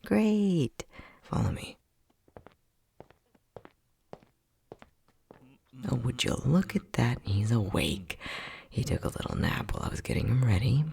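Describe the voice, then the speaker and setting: Male actor as mad scientist, very breathy and husky, creaky, sardonic, bossy, theatrically playful, ASMR roleplay. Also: medium pitch, mellow, full character voice. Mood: smug, playful. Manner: sardonic, teasing, condescending, with frequent sighs.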